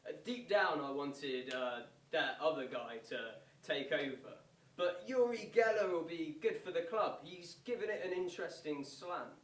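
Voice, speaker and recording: A man's voice: fairly high pitch, lively intonation, normal speed, natural voice. A man playing a bemused, loyal lifelong football fan in a stage monologue. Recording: distant, echoey, noisy stage recording.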